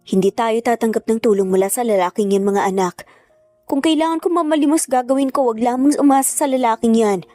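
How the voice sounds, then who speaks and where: A woman's voice: a little high, brisk, mellow, dry recording, soft background music, natural voice. A woman acting as a proud, hurt mother in a narrated family drama, emotional and bitter. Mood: angry, tearful. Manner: tearful, bitter, defiant.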